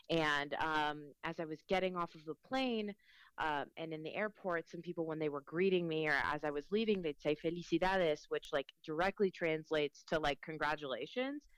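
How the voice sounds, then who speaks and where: A woman's voice: low voice, a bit bright, smooth, dry recording, low-quality recording. A young woman reporter from Mexico with a Mexican accent, chatting casually in an interview and filling her speech with ums and likes. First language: Spanish.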